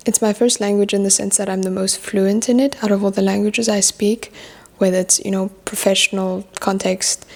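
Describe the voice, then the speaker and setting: A young woman talks through her year of books in a loose, chatty way, often restarting sentences as she thinks aloud. Her mid-range voice carries a gravelly edge. Her first language is French. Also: mid-range voice, a little mellow. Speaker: book vlogger. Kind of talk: casual book review chat.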